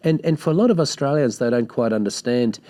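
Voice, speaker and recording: Effusive male TV host, mellow tone. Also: medium pitch, expressive, fast. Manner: effusive, praising, with many hesitations. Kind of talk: TV interview.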